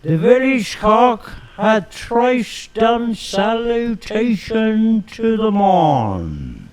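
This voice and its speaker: A man's voice: high-pitched, sing-song, a crowd in the background, heavily acted. A man reading the part of a world-weary man lamenting a country at war. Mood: brooding. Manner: slow, declamatory, theatrical stage reading.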